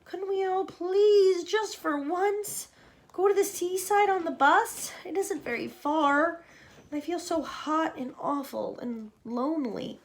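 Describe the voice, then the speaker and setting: A woman voicing a lonely little boy in a storybook reading, pleading softly. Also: very high voice, slow pace, voice pushed up, heavily acted. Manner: pleading, tearful. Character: sad lonely small boy.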